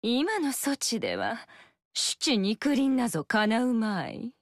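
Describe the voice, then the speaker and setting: A scheming, seductive fox spirit in a video game, coy and languid. She speaks slowly, her pitch rising and falling in a lilting way. The studio sound is dry and close. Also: very animated, no room sound, heavily acted.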